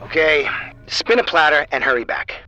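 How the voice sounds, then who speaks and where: An actor in a radio drama playing an impatient, gruff radio engineer who talks curtly and bossily through an intercom. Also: male voice, a little high, very animated, normal speed, pushed and bright, soft background music. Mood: curt, bossy. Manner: curt, bossy. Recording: narrow, noisy intercom sound.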